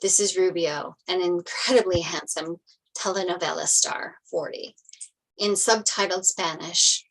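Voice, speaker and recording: A woman narrates a screenplay, reading out the stage directions. Her voice is a little low in pitch, in a table-read style. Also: steady tone, measured pace, rough recording.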